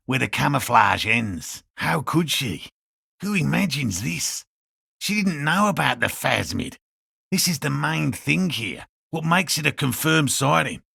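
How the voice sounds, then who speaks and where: A man playing a proud, prickly old cryptozoologist in a video game, with a gravelly, throaty voice and curt, precise academic diction. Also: mid-range voice, bright, forceful tone, no room sound, studio quality, heavily acted. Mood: earnest wonder. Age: older. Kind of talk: video game character dialogue.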